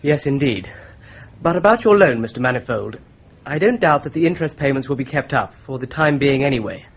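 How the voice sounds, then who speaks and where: A man's voice: mid-range voice, lively intonation, brisk, slight background noise, close to an everyday voice. A man playing a shrewd, methodical solicitor. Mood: explaining. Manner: methodical, patiently explaining his theory. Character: Boone, shrewd young male solicitor-detective, patient explainer. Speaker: solicitor investigating a fraud. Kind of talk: BBC-style radio drama acting. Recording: dull lo-fi radio play recording.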